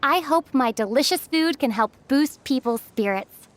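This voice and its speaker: An eager, high-voiced young cook. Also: female voice, animated, measured pace. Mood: hopeful. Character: sweet, eager young female cook adventurer. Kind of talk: video game voice acting.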